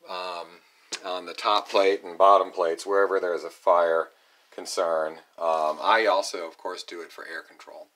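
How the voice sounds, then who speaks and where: A man's voice: low voice, a bit bright. A man filming his tiny home build with a handheld phone, explaining things in a plain-spoken way with many ums. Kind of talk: casual how-to vlog. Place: unfinished wooden tiny home under construction, indoors. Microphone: handheld phone camera, filming himself off-screen.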